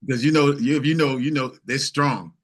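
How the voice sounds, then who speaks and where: A man's voice: medium pitch, fairly even intonation, a little fast. A man speaking as an interview guest and singer-songwriter, telling stories in casual, informal, easygoing chat.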